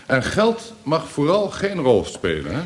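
Pompous rich gentleman, lively swooping pitch. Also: measured pace, heavily acted. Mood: boastful, eager. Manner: pompous, self-important. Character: wealthy boastful gentleman showing off his country estate. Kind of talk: Dutch radio play acting.

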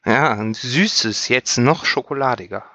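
Bright, deadpan joking man. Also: mid-range voice, a little slow, no echo, lo-fi sound. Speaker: podcast co-host. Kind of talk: casual podcast chat.

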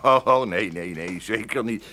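A man's voice: on the low side, unhurried, sharp tone, a little hiss or hum. Een man die in een hoorspel een gemaakt joviale, babbelzieke zakenpartner uit de misdaad speelt, aarzelend en herhalend. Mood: jovial. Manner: chuckling, hesitant, repetitive. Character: chatty, falsely jovial male business associate in crime. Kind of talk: radio drama acting.

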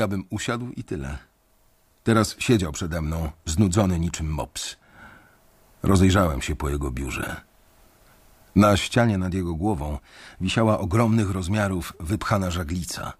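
An actor narrating in first person as an anxious, sardonic bank employee facing layoff, in a measured, dry radio drama delivery. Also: male voice, low-pitched, slightly rough. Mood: wry. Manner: measured, dry, wry storytelling.

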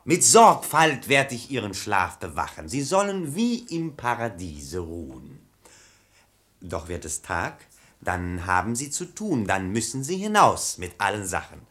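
An actor playing a falsely polite, pious bailiff in a verse radio play, with unctuous, drawn-out courtesy. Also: male voice, fairly low pitch, big pitch swings, fairly bright tone. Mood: falsely gentle.

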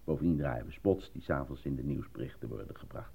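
A stammering, excitable actor, husky. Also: male voice, extremely low pitch, quick pace, exaggerated voice. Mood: persuasive. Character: eager, naive, easily flustered male actor cast as minister. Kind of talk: radio play acting. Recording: muffled lo-fi old radio recording.

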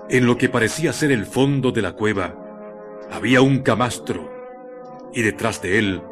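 A man narrating a scripted radio drama in a measured, literary storytelling manner. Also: on the low side. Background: music.

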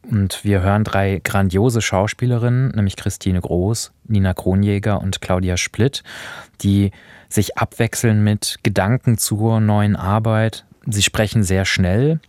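Lower-pitched male host, dry studio sound. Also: darkish tone, no room sound. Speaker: radio podcast host introducing radio plays. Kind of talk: conversational radio presentation.

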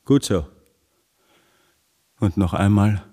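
A very deep, slow, weary husband. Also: male voice, mellow. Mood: weary, bleak. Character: weary, obsessive, desperate husband recounting his life. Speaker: actor narrating as lead character. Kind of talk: literary radio drama monologue.

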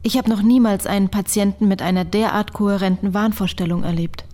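An actress playing an incredulous female therapist questioning a patient in a radio drama, her voice soft and husky. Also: mid-range voice, fairly even intonation, brisk, lightly acted. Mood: sceptical, curious.

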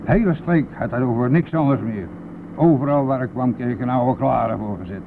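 An actor playing a boastful, brash and talkative bus driver in a radio play, with a blustery, colloquial manner. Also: male voice, medium pitch, brisk, gravelly, natural voice. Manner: blustery, colloquial, mildly swearing. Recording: narrow phone-like old radio recording, faint noise.

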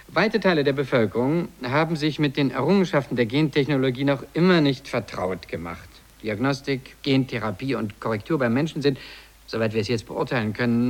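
An actor playing a controlling male radio host with a brisk, polished, dismissive manner in a radio drama. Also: medium pitch, natural voice. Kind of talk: radio drama dialogue and presenting.

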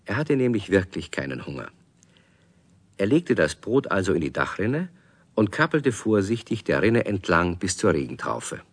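A man's voice: low-pitched, big pitch swings, moderate pace, no echo, heavily acted. A man narrating a children's radio play, speaking expressively and directly to young listeners as a friendly storyteller. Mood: storytelling.

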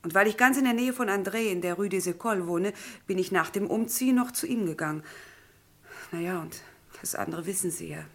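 An actress plays a young woman questioned in a crime radio drama. Her voice is middle-pitched for a woman. She recounts things candidly, slightly shaken and flustered. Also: fairly quick, natural voice. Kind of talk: German crime radio play acting.

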